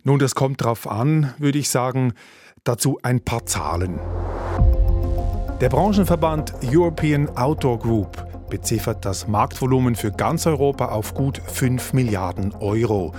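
A man reporting and explaining in a measured radio business feature. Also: medium pitch, animated, music in the background.

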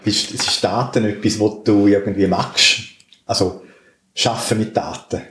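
A man's voice: fairly low pitch, fairly even intonation, measured pace, fairly bright tone. A man with a Swiss German accent hosting a podcast, asking questions in casual conversation. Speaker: podcast host. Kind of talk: casual interview chat. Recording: roomy echo with audible background noise.

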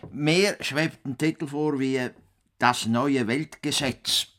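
An actor with a Swiss German accent playing an earnest, stubborn farmer in a radio play, dogged and grumbling. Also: male voice, medium pitch, fairly even intonation, slow pace, fairly bright tone. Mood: halting, guarded.

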